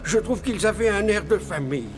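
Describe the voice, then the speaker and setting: An actor playing a nervous, evasive pastry shop owner in a French cartoon. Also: male voice, high-pitched, animated, brisk. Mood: cowed.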